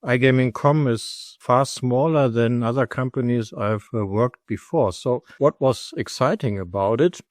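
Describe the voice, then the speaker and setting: A middle-aged man with a German accent speaks at a mid-range pitch, calm and measured, laying out his points in full sentences. The recording is close and dry, with no room around the voice. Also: dry recording. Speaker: guest, company executive and lecturer. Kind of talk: conversational podcast interview.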